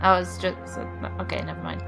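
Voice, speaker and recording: A woman's voice: a bass voice, sing-song, fast, creaky, over music. A woman chatting casually as a player in a tabletop game, playful and full of questions. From New Zealand.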